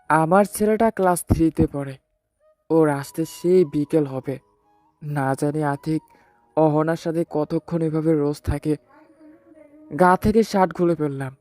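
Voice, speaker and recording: Give me a man acting a mellow-voiced heartbroken husband narrator. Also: on the high side, close to an everyday voice. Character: heartbroken betrayed husband narrating his own story. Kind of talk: dramatic first-person audio story narration.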